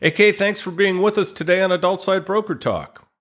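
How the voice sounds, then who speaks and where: A man hosting a podcast, reading industry news from a script and introducing his guest in a steady read-aloud manner. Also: sits high, fairly quick. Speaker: podcast host.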